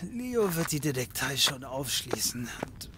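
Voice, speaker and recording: A man's voice: medium pitch, sing-song, measured pace. A man playing a grumpy, sardonic private detective in his late thirties in a comedic audio drama, muttering and stammering, with some noise behind him. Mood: tipsy muttering.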